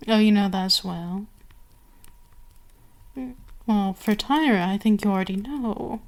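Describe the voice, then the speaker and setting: A woman acting an imperious, slow-speaking vampire queen. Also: medium pitch, natural voice. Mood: smug plotting. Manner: haughty, deliberate, with lingering pauses and breathy whispers. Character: imperious female vampire queen, seductive, cruel, domineering. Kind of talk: ASMR roleplay acting.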